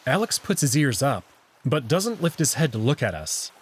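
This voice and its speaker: Expressive man narrating, slightly rough voice. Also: mid-range voice, normal speed. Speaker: narrator reading a visual novel aloud. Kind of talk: scripted first-person story narration.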